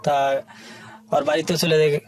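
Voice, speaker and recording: A man with a Bangladeshi accent telling his life story as an interview guest, in colloquial, halting short phrases. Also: on the high side, level tone, a little mellow.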